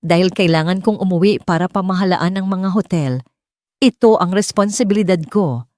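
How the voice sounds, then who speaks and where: A woman voicing a gentle, wistful gentleman heir and employer in an audio story. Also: on the low side, moderate pace, no echo, clean studio sound, natural voice. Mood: wistful, resigned.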